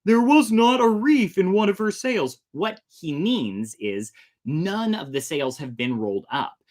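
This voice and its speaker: A man hosting a casual storytime, chatty and jokey as he explains things in asides. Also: medium pitch. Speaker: storytelling host.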